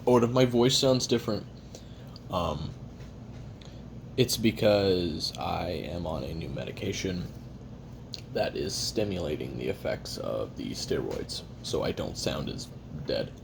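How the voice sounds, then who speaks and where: A man playing a weary, jaded assassin who records a private confession in a halting, sardonic, casually profane way while eating. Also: low-pitched, expressive, gravelly, noisy background, heavily acted. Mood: dry, sardonic. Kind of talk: first-person confessional audio roleplay monologue.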